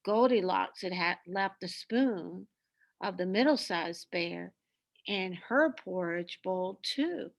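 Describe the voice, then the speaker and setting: A woman reading a children's story aloud with expressive, deliberate, dramatic narration. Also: fairly low pitch, vocal fry.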